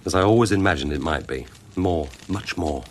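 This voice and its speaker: A man's voice: sits low, lively intonation, brisk, slight background noise. A man playing an affectionate, concerned husband in a radio drama, urbane and teasing, with a British accent. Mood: tender. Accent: British English.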